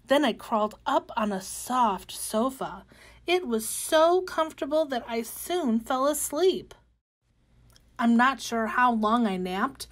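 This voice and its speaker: A woman voicing a cheerful, wide-eyed young caterpillar turned butterfly in an expressive, gentle children's storybook reading. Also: high-pitched. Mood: gentle wonder. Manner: gentle, expressive, with excited exclamations. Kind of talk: animated read-aloud of children's picture book.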